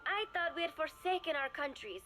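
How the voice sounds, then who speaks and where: A woman quoting a gentle young man pleading. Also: high voice, lively intonation, brisk, soft background music, voice pushed up. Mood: earnest. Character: gentle young male scientist calming soldiers.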